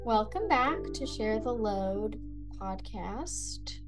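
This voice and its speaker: Slow-talking woman podcast host over music. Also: mid-range voice, expressive. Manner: halting, with many fillers and restarts. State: lost voice, whispering. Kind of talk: casual podcast interview.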